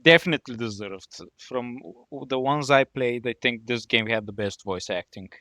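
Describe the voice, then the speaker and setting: A man's voice: medium pitch, normal speed, bright, forceful tone, no room sound. A man co-hosting a podcast, asking questions casually with fillers. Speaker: podcast co-host. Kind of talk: podcast interview.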